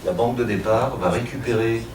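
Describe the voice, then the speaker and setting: A man's voice: mid-range voice, fairly quick. A man presenting a technical workshop to a room of attendees, explaining step by step with frequent fillers and light asides. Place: conference room. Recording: echoey room from far off, audible noise.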